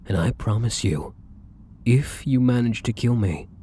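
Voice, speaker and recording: A man playing a defiant, protective vampire in an ASMR roleplay, with husky, breathy delivery and theatrical, formal phrasing. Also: medium pitch, unhurried, dark tone, slight background noise, natural voice. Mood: defiant threat. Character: defiant, protective young male vampire, bitter and menacing. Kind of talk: ASMR audio roleplay acting.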